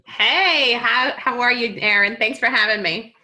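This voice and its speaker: A woman from Peru, a podcast guest in casual interview chat, talking haltingly with many ums and restarts. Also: fairly high pitch, sharp tone, low-quality recording.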